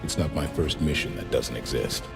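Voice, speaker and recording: An actor playing a serious, guarded military squad leader in a video game. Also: male voice, rumbling low, moderate pace, creaky. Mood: serious, businesslike. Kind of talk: video game cutscene acting. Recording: loud music, slightly distant voice.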